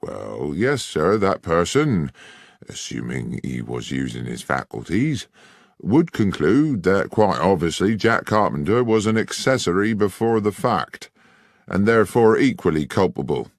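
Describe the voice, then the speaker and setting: A man's voice: low voice, sing-song, unhurried, vocal fry, dry recording, studio quality, heavily acted. A man voicing a pompous village police sergeant. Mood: smug. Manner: pompous, condescending. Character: smug middle-aged village police sergeant.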